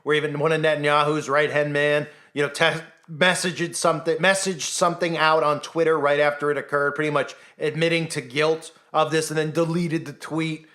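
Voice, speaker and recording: Higher-voiced man, insistent commentator. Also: level tone, normal speed, hardly any pauses, a bit bright. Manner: insistent, rhetorical, often tags statements with "right?". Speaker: online commentator. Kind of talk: informal conspiracy commentary monologue.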